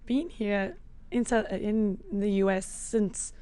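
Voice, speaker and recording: A woman's voice: mid-range voice, expressive, slow, warm tone, no room sound. A woman from South Africa with a South African accent, a fitness coach talking as a podcast guest in an upbeat way, with small restarts. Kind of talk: casual interview talk.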